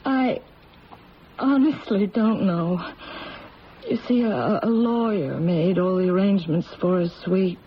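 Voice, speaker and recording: A woman acting a loving, anguished middle-aged mother in a radio drama, halting, tender and emotional. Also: mid-range voice, lightly acted. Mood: ashamed. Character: loving, anguished adoptive mother of a grown daughter. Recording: muffled, noisy old radio broadcast.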